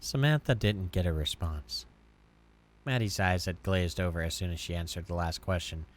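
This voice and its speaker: A man's voice: deep voice, moderate pace. A man reading visual novel text aloud as a let's play, sometimes stumbling and rereading a line. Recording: music behind the voice. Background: game music with guitar.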